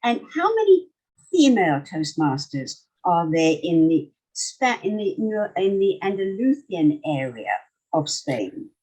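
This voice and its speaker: Older woman host with a husky voice. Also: fairly low pitch, sing-song, a little slow, mellow, poor audio. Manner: effusive, full of praise. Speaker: talk show host. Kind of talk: interviewing a guest.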